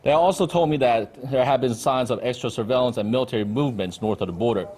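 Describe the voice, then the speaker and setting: Field correspondent, male, thirties, medium pitch, live report from a briefing room. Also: fast talker, a little mellow, husky. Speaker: news correspondent. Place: indoor ministry briefing room. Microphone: handheld-style reporter mic on desk.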